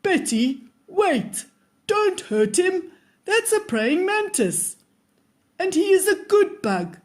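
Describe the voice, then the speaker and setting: Woman reading as a gentle grandfather, slow and lively. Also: very high-pitched, heavily acted. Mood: gently urgent. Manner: gentle, explaining. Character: patient, kindly grandfather who loves garden bugs.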